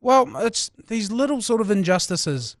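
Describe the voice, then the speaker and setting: A man with a New Zealand accent speaks in a fairly high voice. He co-hosts a podcast with casual banter, recorded dry in a studio. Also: very animated, unhurried, dry recording. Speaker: podcast co-host.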